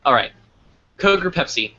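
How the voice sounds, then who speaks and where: A man's voice: fairly high pitch, animated, moderate pace, pushed and bright, dull audio. A man hosting a casual live interview, talking informally as he puts questions and addresses the audience. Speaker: interview host.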